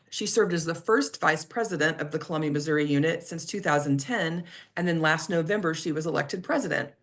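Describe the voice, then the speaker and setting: A woman hosting a club meeting, reading a friendly introduction of the guest speaker with small self-corrections. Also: lowish voice.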